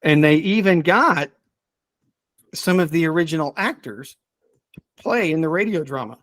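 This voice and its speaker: A man's voice: a little high, lively intonation, moderate pace, fairly bright tone, no room sound. A man chatting casually and enthusiastically as he presents picks on a podcast, often chuckling as he talks. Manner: enthusiastic, chuckles while talking. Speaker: podcast guest presenting a ranked list.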